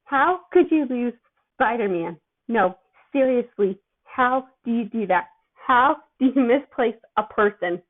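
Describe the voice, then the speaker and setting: A woman's voice: a little high, expressive, unhurried. A woman voicing a mocking, irritable male superhero with sarcastic, needling questions, in an amateur story reading. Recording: thin, phone-like narrow-band sound.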